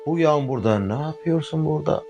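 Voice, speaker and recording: A man voicing a kind, friendly sparrow who speaks in a warm, reassuring way. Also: on the high side, brisk, faint music behind, voice pushed up, lightly acted. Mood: curious.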